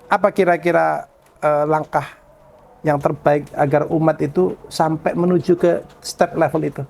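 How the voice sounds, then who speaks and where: A man's voice: on the high side, steady tone, normal speed, gravelly, darkish tone, slight background noise. A man hosting a casual religious discussion, informally posing questions and seeking agreement. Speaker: podcast host.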